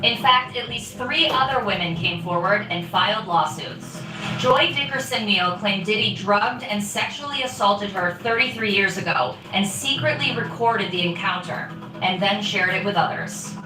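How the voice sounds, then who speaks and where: A woman reads scripted documentary narration in an even, factual tone, at a middle pitch. Her voice is set back from the mic. An echo trails each line. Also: normal speed. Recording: echoey, distant documentary clip.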